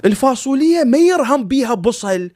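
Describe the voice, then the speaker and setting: A man's voice: very high voice, lively intonation, fairly quick, a little mellow. A man with an Iraqi Arabic accent chatting casually and comically like a chiding big brother, full of rhetorical questions. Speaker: storyteller and online content creator. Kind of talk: casual comedic chat in a cartoon.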